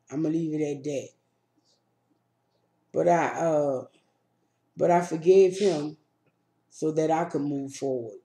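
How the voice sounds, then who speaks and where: A woman's voice: low-pitched, warm tone, roomy sound. A woman hosting a casual storytime vlog, talking in a laid-back, plainspoken way. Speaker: vlog host. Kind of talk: casual storytime chat while eating.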